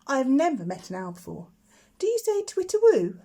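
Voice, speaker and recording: A woman's voice: high-pitched, huge pitch swings, fairly quick, warm tone, pitched above the performer's own voice, exaggerated voice. A woman voicing a confident little schoolgirl in a storybook, chatty and proud, with a matter-of-fact know-it-all manner. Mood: bossy.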